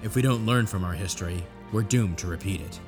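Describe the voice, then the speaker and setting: A man reading scripted credits as an announcer, in a measured presentational style. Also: low-pitched. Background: music.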